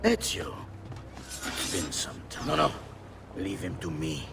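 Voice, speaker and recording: An actor playing an arrogant, menacing male villain with a gravelly voice in a game cutscene. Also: deep voice, big pitch swings, takes it slowly, heavy background noise, heavily acted. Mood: cold, guarded. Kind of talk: video game cutscene acting. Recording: music behind the voice.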